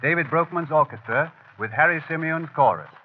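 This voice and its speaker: A man's voice: on the high side, a little fast. A man reading a formal promotional announcement in 1930s radio style. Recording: tinny, noisy 1930s radio recording.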